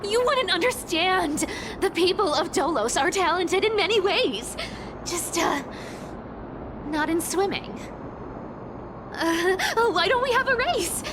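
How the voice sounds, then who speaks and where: An actress playing a sly, haughty, cat-like demigoddess thief in a video game, theatrical, bossy and greedy for treasure. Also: female voice, very high-pitched, lively intonation, slow, long pauses, background noise, exaggerated voice. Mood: grumpy, flustered. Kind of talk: video game character acting. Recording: game music behind the voice.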